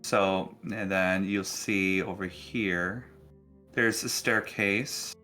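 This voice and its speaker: A man with a fairly low but bright, forward voice runs a tabletop role-playing game. He narrates off the cuff in a casual, halting way, pausing often. Music plays behind him. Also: fairly even intonation.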